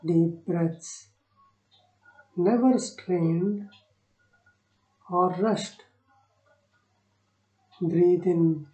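A woman guiding a meditation in short, soothing phrases with long pauses between them. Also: fairly low pitch, warm tone. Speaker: meditation guide. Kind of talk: guided meditation narration. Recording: roomy echo on the voice.

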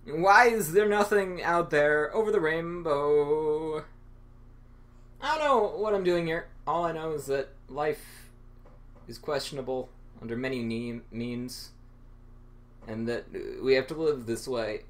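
A man's voice: a little high, a little slow. A young man hosting his own channel, rambling through an improvised, absurd and sarcastic monologue with lots of stammering. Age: young adult. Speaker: channel host.

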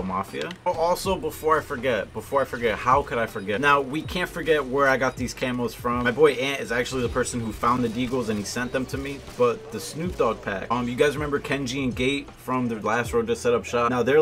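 A man's voice: mid-range voice, a bit bright. A young man giving casual gameplay commentary in a slangy, informal, conversational way. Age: young adult. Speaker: gaming video host. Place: indoor room at home, gaming setup.

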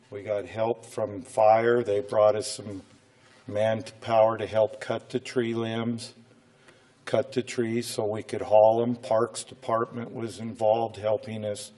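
Slow-talking middle-aged American man with a cold. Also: lowish voice, a little mellow. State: voice coming and going from a cold. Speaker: city public works official presenting. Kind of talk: informal presentation to a city council. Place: indoor council chamber, standing at a podium.

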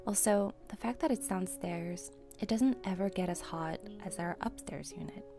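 A woman narrating a tour of her own home in a calm, scripted voice-over. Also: on the low side, normal speed, creaky, music in the background. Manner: calm, even, tidy tour-guide delivery. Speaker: homeowner presenting a house tour.

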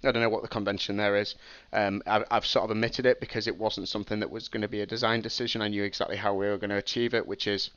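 A man's voice: on the low side, level tone, quick pace, hardly any pauses, fairly bright tone, no echo, lo-fi sound. Sniffly young man explaining code. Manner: thinking aloud, many ums and restarts. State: sniffly with a cold. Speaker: streamer teaching game programming. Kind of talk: casual live-stream explanation.

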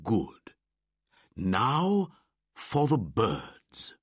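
A man's voice: on the low side, wildly swooping pitch, breathy edge, no echo, clean studio sound, voice pushed up, exaggerated voice. A man voicing an old brown bear who patiently teaches a boy, in a storybook reading. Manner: slow, deliberate, warmly instructive.

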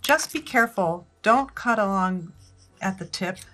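A woman in her sixties giving plain, measured step-by-step instructions for a paper craft. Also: medium pitch, lively intonation, fairly bright tone, soft background music. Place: wood-cabinet room indoors, at a craft table.

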